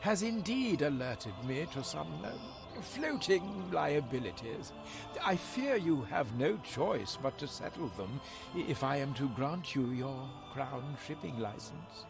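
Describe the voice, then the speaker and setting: Gravelly, courtly ambassador. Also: male voice, fairly high pitch, very animated, takes it slowly, exaggerated voice. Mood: regretful, diplomatic. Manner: formal, urbane, diplomatic. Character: courtly, smooth-tongued male ambassador to the Queen. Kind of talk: video game cutscene acting. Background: music.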